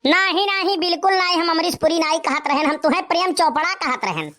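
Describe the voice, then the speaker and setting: A young village man for a comedy cartoon, with a very high, childlike voice. He is cheeky and sure of himself, delivering witty lines in a rustic Awadhi accent. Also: lively intonation, a little fast, bright, forceful tone, full character voice. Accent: Awadhi, eastern Uttar Pradesh.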